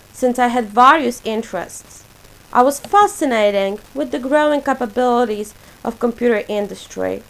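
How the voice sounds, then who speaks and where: A woman in her forties from Poland, a native Polish speaker, reading a prepared self-introduction for her portfolio in a measured, list-like way. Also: on the high side, gravelly, low-quality recording. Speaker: graduate student presenting a portfolio.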